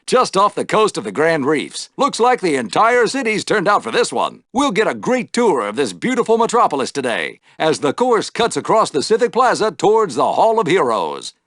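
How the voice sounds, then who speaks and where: An actor playing an exuberant, wry podrace announcer in a video game, with a showy, dramatic broadcast delivery. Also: male voice, high-pitched, wildly swooping pitch, normal speed, a bit bright, dry recording, studio quality, exaggerated voice. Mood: showy, enthusiastic. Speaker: sports announcer. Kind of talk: theatrical sports commentary for a video game.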